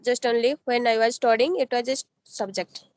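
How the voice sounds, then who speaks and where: A woman's voice: on the high side, brisk, darkish tone. A woman from Odisha whose first language is Odia, chatting in simple, careful English as a practice conversation. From Odisha, India. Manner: simple, careful non-native phrasing. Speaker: guest, advocate.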